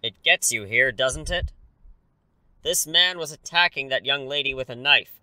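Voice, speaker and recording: A man's voice: mid-range voice, measured pace, pushed and bright, no echo, low-quality recording. A man playing a wordy superhero in his thirties who is secretly an English teacher, theatrical but easily flustered, in a comedic audio drama. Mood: cocky, heroic. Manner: glib, wordy, awkward when cornered.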